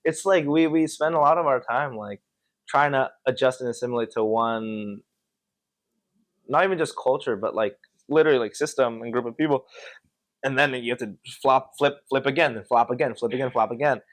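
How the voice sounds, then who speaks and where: A young man from Connecticut, a podcast guest telling stories in an animated, slangy, casual way. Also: mid-range voice, fairly quick, no room sound. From Connecticut, USA. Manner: animated, slangy storytelling with many filler yeahs. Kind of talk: casual chat.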